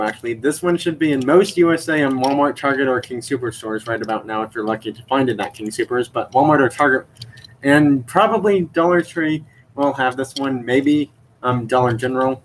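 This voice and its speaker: A man's voice: mid-range voice, fairly bright tone, a little hiss or hum, low-quality recording. A young man chatting on a live stream about his toy car collection, rambling with many fillers and unsure self-corrections. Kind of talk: casual live stream show-and-tell. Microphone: computer mic.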